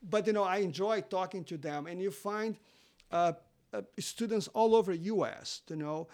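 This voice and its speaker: A man who speaks English as a non-native language, a seminary teacher talking as a guest on a podcast interview, halting with many fillers and restarts. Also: high voice, darkish tone. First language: not English.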